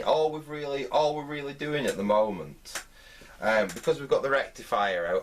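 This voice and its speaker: A man with a lowish, distinctly husky voice talks through a hands-on repair. He speaks off the cuff and haltingly, thinking aloud as he works. He sounds a little way from the microphone. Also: recorded from a distance. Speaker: host of a vintage radio repair channel. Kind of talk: unscripted hands-on repair commentary.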